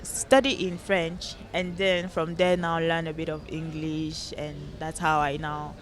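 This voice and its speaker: A young woman from Cameroon, a podcast guest in casual chat, bubbly and quick to laugh. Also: low-pitched, flat delivery.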